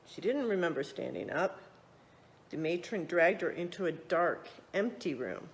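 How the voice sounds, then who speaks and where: A woman reading a children's picture book aloud, expressively, pausing to check in with young listeners. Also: on the low side, lively intonation. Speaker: read-aloud storyteller.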